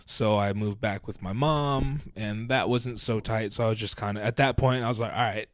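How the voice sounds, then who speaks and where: A man's voice: lowish voice, steady tone, moderate pace, dry recording, clean studio sound. A man in his thirties, a podcast guest, talking about his upbringing in a laid-back, nonchalant way and swearing freely. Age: 30s. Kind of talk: casual chat.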